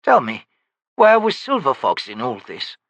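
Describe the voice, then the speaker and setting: A man voicing a terrified old woman, a grieving mother who pleads in a shrill, desperate voice. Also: huge pitch swings, unhurried, fairly bright tone, no echo, highs cut off, exaggerated voice. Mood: pleading.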